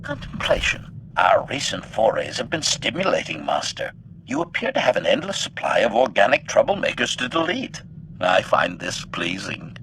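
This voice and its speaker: A sharp-edged, swooping robot servant speaks in clipped, formal phrases. It is a video game assassin droid, unfailingly polite and coldly bloodthirsty, with a low gravelly tone. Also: male voice, huge pitch swings, moderate pace, slight background noise, exaggerated voice, low-quality recording. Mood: smugly pleased. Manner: clipped, formal, announces each statement type. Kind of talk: video game character acting.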